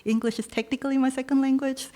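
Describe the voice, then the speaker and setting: A woman poet, native Korean speaker raised in Peru, reading her own poems aloud in a measured, pausing delivery. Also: sits high, level tone. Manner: slow, measured delivery with soft pauses between lines. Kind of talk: poetry reading.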